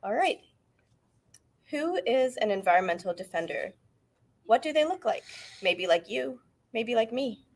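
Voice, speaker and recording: Woman reading aloud, measured and earnest. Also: fairly high pitch, sing-song. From Canada. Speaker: author reading her book. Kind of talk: reading aloud from a book introduction. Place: bookfest event hall.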